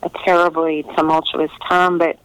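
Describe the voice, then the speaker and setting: A middle-aged woman giving earnest, conversational interview answers, pausing often to find her words over a remote line. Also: sits low, level tone, clean tone, no echo, rough recording. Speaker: interviewed historical novelist.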